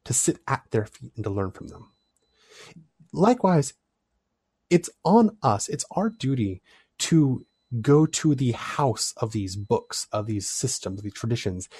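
A man's voice: mid-range voice, husky, no echo. Thoughtful man explaining in a dry close sound. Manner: thoughtful, explanatory, animated delivery. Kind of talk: casual discussion.

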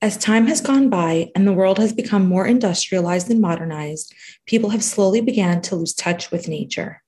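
A woman narrating a scripted podcast introduction in a measured way. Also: medium pitch, warm tone. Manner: measured, reading a guest introduction.

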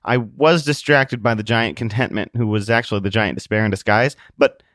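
An earnest young male hero, acted by a man reading an audiobook. He sounds persuasive and pleading, with a voice pitched a little above a plain speaking voice. The sound is close and dry. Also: fairly even intonation, brisk, a bit bright, dry recording, natural voice.

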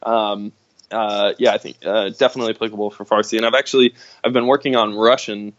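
Halting man on a muffled line. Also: lowish voice, level tone, dry recording. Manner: halting, many fillers and restarts, laughs while talking. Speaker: guest. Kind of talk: casual conversation. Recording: dull lo-fi sound.

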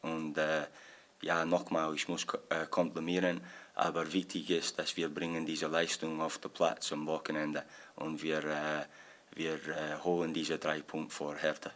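A Scottish man whose first language is English, answering press questions as a football coach in halting German with many pauses and fillers. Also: a bass voice, monotone, a bit bright. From Scotland.

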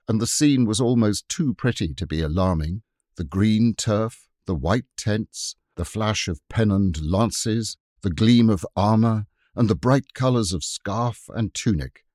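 Lively low-voiced male storyteller. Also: no echo, studio quality. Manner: expressive, measured storytelling. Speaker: audiobook reader. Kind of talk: scripted narration.

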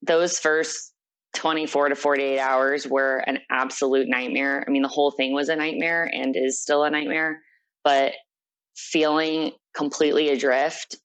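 A woman's voice: a bass voice, level tone, unhurried, low-quality recording. A young adult woman being interviewed, telling a personal story in an earnest, reflective way. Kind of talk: personal account in conversation.

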